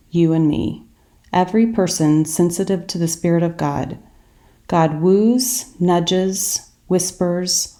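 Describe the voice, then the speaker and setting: A woman leads a reflective meditation in a calm, measured delivery. Her voice is on the low side, relaxed and mellow, and she takes her words very slowly. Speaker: guided meditation narrator. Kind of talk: scripted reflective meditation narration.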